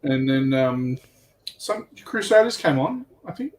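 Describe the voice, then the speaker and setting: A man's voice: mid-range voice, very animated, a little slow, darkish tone, slight background noise. A man in casual conversation as a guest player on a hobby livestream. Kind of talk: casual chat.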